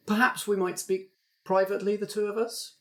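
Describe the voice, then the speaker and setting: A man's voice: high voice, flat delivery, brisk, a bit bright, voice pushed up. Man doing an oily, condescending sorcerer CEO in a suit, for a tabletop game. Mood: oily polite. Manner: falsely polite, hesitant and condescending. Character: smooth, smug male corporate sorcerer in a suit.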